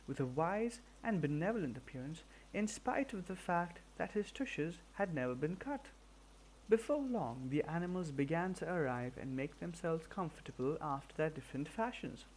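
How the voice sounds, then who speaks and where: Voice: medium pitch, a little mellow, a little hiss or hum, poor audio. A person reading a storybook narration aloud from a script. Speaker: audiobook reader.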